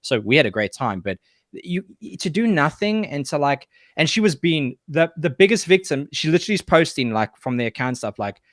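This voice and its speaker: A man's voice: a little high, sing-song, fairly quick, a bit bright, no room sound, studio quality. A man from the UK chatting on a podcast, blunt and swearing as he vents. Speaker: podcast co-host. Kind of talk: casual chat.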